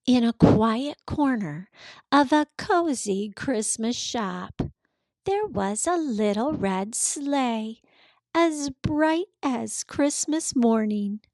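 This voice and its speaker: Very slow, high-voiced woman reading to children. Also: no room sound. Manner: expressive, deliberate, simple phrasing for children. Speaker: host of children's read-alouds. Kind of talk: storybook read-aloud for young children.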